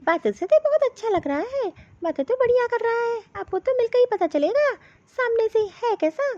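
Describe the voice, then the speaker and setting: A woman's voice: extremely high pitch, big pitch swings, moderate pace, dark tone. A woman playing a vain, fussy young woman looking to marry in a comedy cartoon, with exclamatory, theatrical asides. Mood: chatty. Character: vain, fussy marriage-seeking young woman, scornfully muttering. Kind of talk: Hindi comedy cartoon dialogue. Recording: dull, lo-fi cartoon audio.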